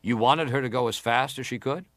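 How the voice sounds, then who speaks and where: Casting: actor for middle-aged male senator in hearing drama, medium pitch, probing and formal, deliberate delivery, close dry recording. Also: fairly bright tone, dry recording, lightly acted. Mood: probing, insistent. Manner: deliberate, formal, pressing questions. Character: probing, persistent senator questioning a witness. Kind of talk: dramatised reading of hearing interrogation.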